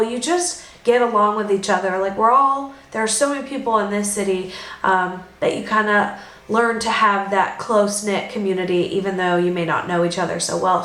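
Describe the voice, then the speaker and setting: A woman's voice: mid-range voice, a bit bright. Young Californian woman, echoey room. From Los Angeles, United States. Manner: chatty, informal, frequent "like" and hedging asides. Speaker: vlogger. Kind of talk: casual improvised vlog chat. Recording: echoey room with some background noise.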